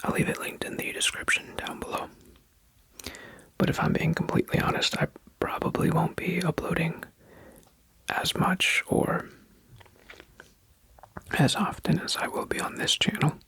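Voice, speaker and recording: A young adult new ASMR creator chatting in a soft, unhurried whisper with frequent fillers. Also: mid-range voice, flat delivery, husky, rough recording.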